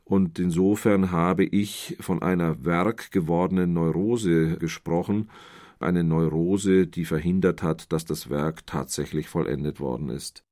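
A man giving explanatory literary commentary as an expert, in a measured, scholarly manner and long sentences. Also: low-pitched, mellow.

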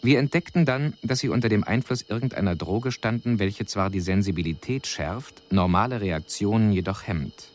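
Man as low-voiced, detached alien envoy. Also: lively intonation, moderate pace, few pauses, natural voice. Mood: clinical, observing. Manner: formal, precise, clinical. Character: detached male alien envoy reporting on a mission. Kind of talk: scripted radio drama report narration.

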